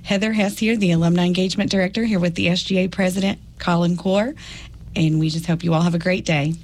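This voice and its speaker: A woman's voice: lowish voice, steady tone, quick pace, a little mellow. A woman, an alumni engagement director, interviewing on the radio in a chatty, upbeat way.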